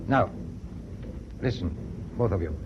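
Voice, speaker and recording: Dull noisy old radio recording, proud German navy captain, commanding, clipped, widely swinging pitch, resolute. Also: male voice, lively intonation, slow. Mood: grave, resolute. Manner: clipped, formal, authoritative. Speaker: actor in a radio play. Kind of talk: BBC radio drama acting.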